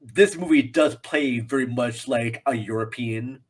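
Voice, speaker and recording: A man speaking as a guest reviewer in a casual film discussion, halting and repeating himself for emphasis. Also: medium pitch, slightly rough.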